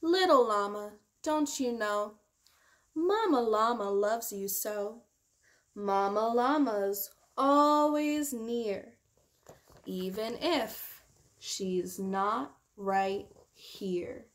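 A woman voicing a gentle, patient mother llama from a picture book, measured, chiding yet loving. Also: on the high side, lively intonation. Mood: loving, reassuring. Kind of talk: picture-book character read aloud.

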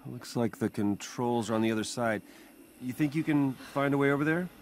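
A man playing a weary, reluctant, mild-mannered hero in a video game, halting and dry, with a gravelly voice. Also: medium pitch, a little mellow, a little hiss or hum, natural voice.

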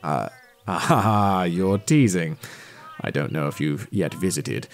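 An actor playing an earnest, awkward detective who speaks in a polite, wordy, formal way in an audio drama. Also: male voice, deep voice, slow pace. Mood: awkward, curious.

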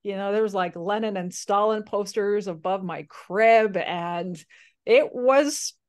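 A woman's voice: medium pitch, slow. A woman from Slovakia, a native Slovak speaker, telling her story as a podcast guest in a chatty way, with many fillers and restarts. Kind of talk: casual storytelling interview.

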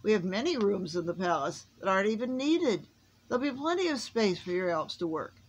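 A woman voicing a gracious, girlish fairy queen in a storybook, polite and excitable. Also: mid-range voice, fairly quick, poor audio.

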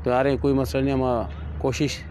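A man's voice: mid-range voice, little pitch movement, normal speed, darkish tone, a little hiss or hum. A man answering a reporter as a cricket fan outside a stadium, giving short, plainspoken replies into a handheld mic. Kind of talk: vox pop answer. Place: outdoors by a stadium. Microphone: reporter's handheld mic held to him.

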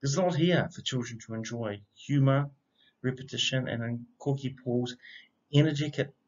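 A middle-aged man reading a rhyming children's picture book aloud in an expressive, measured storytelling manner. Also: mid-range voice. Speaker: storybook reader, educator. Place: indoor room. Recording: slightly dull, lo-fi webcam sound.

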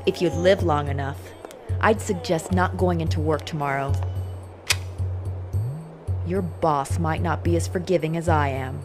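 A woman's voice: low-pitched, fairly even intonation, measured pace, long pauses. A woman playing a determined ex-agency operative in a video game, speaking in a terse, no-nonsense way. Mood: angry, menacing. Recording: music behind the voice.

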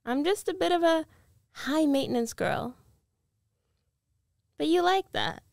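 A woman acts out a rich, domineering ex-girlfriend in a girlfriend ASMR roleplay. She speaks slowly and teasingly, sure of herself and possessive, in a very dry, close-sounding recording. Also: sits high, expressive, vocal fry, a little mellow, no echo, full character voice. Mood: insistent, possessive. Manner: slow, coaxing, self-assured, teasing.

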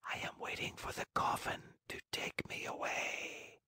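A deathly still ghost maiden in a storybook reading, speaking in a hushed, unvoiced whisper so weak it can hardly be heard. The words come slow and soft, as if from a distance. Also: male voice, no echo. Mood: ghostly faint. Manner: barely audible breathy whisper.

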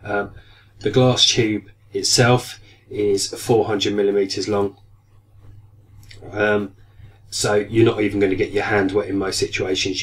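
Low flat-voiced man with a cold. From United Kingdom. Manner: hesitant, many ums and restarts. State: getting a cold, voice going slightly. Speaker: aquarium hobby vlogger. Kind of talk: casual product review. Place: small room indoors at a desk. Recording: distant mic, faint room noise.

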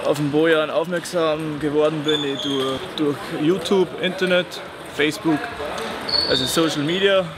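A young man from Austria talks to camera in a sports hall, earnest and measured. His voice is slightly high, with loud hall noise behind it. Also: steady tone. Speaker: camp participant. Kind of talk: interview testimonial.

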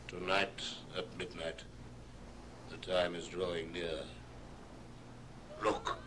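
An actor playing an aged, solemn, foreboding spirit with grave, declamatory delivery in an old radio drama. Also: male voice, low voice, level tone, slow, noisy background.

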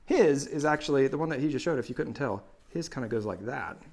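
A man's voice: medium pitch, fast talker, a little mellow. Een man van in de dertig die als presentator losjes en uitleggerig over gereedschap praat, met een dasspeldmicrofoon in een werkplaats. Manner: chatty and explanatory, with restarts and laughs. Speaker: co-host of a woodworking live show. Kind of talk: casual live-stream chat. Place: home woodworking shop, indoors. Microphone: lapel mic.

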